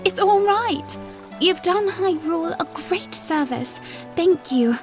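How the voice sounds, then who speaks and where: A woman's voice: very high-pitched, lively intonation, slow, music in the background, exaggerated voice. A woman playing an earnest, gracious young princess in a fantasy audio drama. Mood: grateful.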